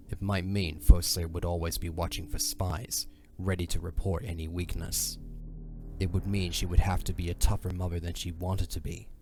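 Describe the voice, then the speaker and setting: A man's voice: deep voice, a little mellow. A man narrating a fantasy audio drama in a dramatic, scripted storytelling style.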